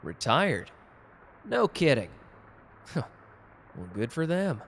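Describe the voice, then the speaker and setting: A man's voice: fairly high pitch, very animated, very slow, gravelly, a bit bright, slight background noise, full character voice. A man playing a cocky, gallant and protective customer who flirts teasingly in a romantic roleplay audio drama. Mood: teasing, friendly. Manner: suave, teasing, intimate one-sided conversation.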